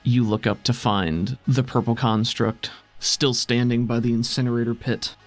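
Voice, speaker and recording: A man's voice: mid-range voice, fairly even intonation, moderate pace, faint music behind. A man narrating a tabletop game as game master, in descriptive, dramatic storytelling.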